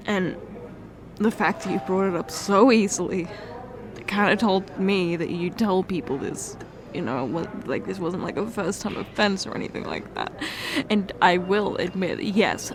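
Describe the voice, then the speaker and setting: A young woman performing an emotional roleplay confession, halting and tearful, with many sighs and restarts. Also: medium pitch. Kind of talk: emotional ASMR fiancée roleplay monologue.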